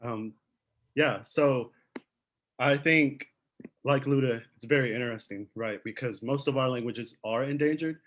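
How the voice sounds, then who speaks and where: A man's voice: mid-range voice, unhurried, fairly bright tone. A man on an online panel speaking earnestly and often ending points with "right?". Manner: earnest, frequent "right?" tags. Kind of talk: panel discussion over video call.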